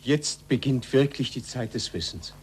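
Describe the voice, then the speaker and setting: An actor playing a troubled, devoted pupil with a husky, dark voice in a 1940s German radio play. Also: male voice, medium pitch, lively intonation, normal speed. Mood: moved.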